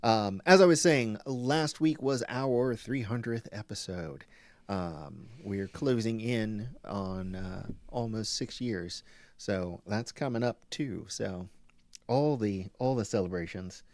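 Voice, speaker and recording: Halting male webcast host, dry close sound. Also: on the low side, no room sound. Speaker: open mic webcast host. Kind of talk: casual live webcast hosting.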